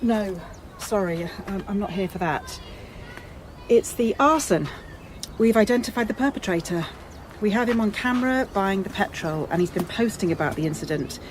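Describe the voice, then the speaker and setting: An actress in a radio drama playing a composed police officer, speaking in a measured, formal, careful manner. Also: female voice, medium pitch, animated, background noise, lightly acted. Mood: businesslike. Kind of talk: naturalistic radio drama acting.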